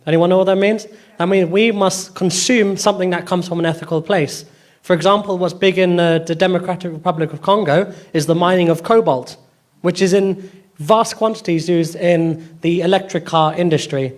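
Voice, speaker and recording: A man's voice: high-pitched, fairly even intonation, moderate pace. A man on a public panel who answers questions in a firm, explanatory way. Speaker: panel speaker.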